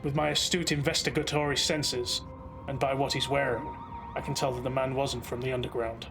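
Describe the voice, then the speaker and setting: Gravelly hard-boiled cyberpunk lawman, acted by a man. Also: mid-range voice, flat delivery, fairly quick, music in the background, close to an everyday voice. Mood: brooding narration. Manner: clipped, gruff, dry wit. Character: hard-boiled, impatient male cyberpunk police marshal. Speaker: narrator and lead character. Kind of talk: noir detective audio drama.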